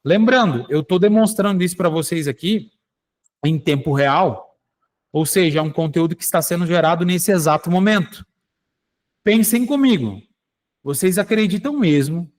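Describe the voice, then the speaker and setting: A man hosting a show, demonstrating software live and explaining it to listeners, often checking in with "tá?" and "né?". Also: a little high. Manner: explanatory, addresses listeners directly with frequent "tá?" and "né?". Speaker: radio show host.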